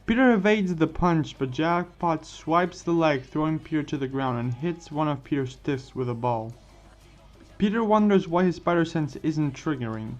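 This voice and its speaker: A man's voice: on the high side, normal speed. A man hosting and narrating a scripted fan story for an online video, stumbling over and repeating words as he reads. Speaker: host and narrator of a what-if story series. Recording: muffled lo-fi voice over background music. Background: music.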